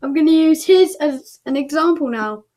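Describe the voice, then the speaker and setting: A woman's voice: high-pitched, moderate pace, dark tone. A woman casually announcing results as the host of an online show, chatty and thinking aloud as she goes.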